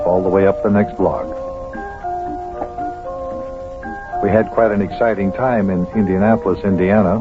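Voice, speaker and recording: A man playing a kindly, patient guardian who also narrates an old children's radio serial in a homey, measured way. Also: fairly low pitch, close to an everyday voice. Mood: homey, storytelling. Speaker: host and narrator. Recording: very thin, phone-like old radio recording.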